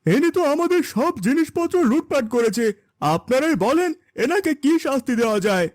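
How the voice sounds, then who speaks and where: Casting: emphatic village accuser for cartoon, very animated high male voice, declamatory male actor. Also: moderate pace, no echo, clean studio sound. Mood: accusing. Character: excitable, accusing village man. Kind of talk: cartoon dialogue.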